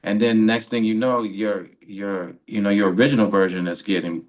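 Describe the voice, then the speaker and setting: A man's voice: fairly low pitch, steady tone, moderate pace. A man co-hosting a radio interview, talking casually and giving rambling, encouraging advice. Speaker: radio co-host.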